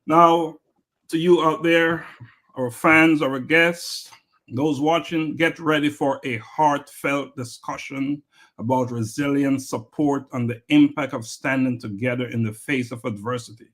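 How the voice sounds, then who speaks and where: A man's voice: medium pitch, fairly even intonation, measured pace, fairly bright tone. A man hosting an inspirational interview podcast, introducing guests with emphatic, rising energy. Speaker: podcast host.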